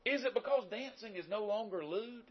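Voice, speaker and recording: A man's voice: high-pitched, animated, a little fast, slightly rough. A man with a Southern American accent preaching a sermon in a folksy, conversational way with emphatic rises. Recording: dull lo-fi sound.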